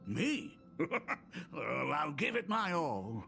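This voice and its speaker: A man's voice: fairly high pitch, big pitch swings, drawn-out words, vocal fry, music in the background, heavily acted. An actor playing an eager, earnest artist and fond father in a video game, hesitant and full of wonder. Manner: hesitant, marveling.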